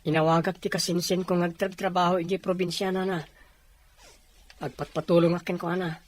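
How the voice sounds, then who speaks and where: A husky voice from an actor plays an earnest married working father in a dramatized family story. The delivery is sincere and unpolished. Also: medium pitch, level tone, brisk, natural voice. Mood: worried. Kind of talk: dramatized audio story acting.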